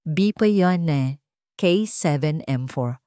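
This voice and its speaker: A woman's voice: low voice, lively intonation, drawn-out words, warm tone, smooth, dry recording, studio quality. A woman with a Filipino accent narrating a scripted dramatic story.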